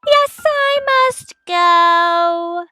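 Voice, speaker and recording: An actress playing the bar owner's ditzy daughter in an old-time radio comedy, with a drawn-out melodramatic delivery. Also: female voice, extremely high pitch, animated, sharp tone, soft background music, full character voice. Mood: mock-tragic.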